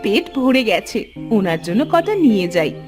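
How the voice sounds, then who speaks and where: A woman's voice: medium pitch, very animated, measured pace, music in the background. A woman playing a poor, emotive village wife who longs for her child, in a cartoon fairy tale. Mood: delighted surprise.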